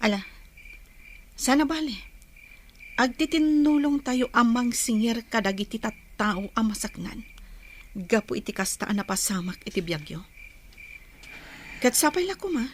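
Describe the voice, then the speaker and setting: A young female confidante in an audio drama. Her voice is fairly high, concerned and consoling, over faint cricket-like noise. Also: lively intonation, a little slow, long pauses. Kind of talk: Filipino radio drama acting.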